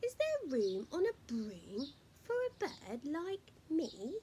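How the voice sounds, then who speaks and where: A small green bird from a picture book chirps eagerly, as a woman reading aloud puts it on. The voice is high and sing-song, leaping up at the end of each phrase. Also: huge pitch swings, slow, mellow, a little hiss or hum, heavily acted.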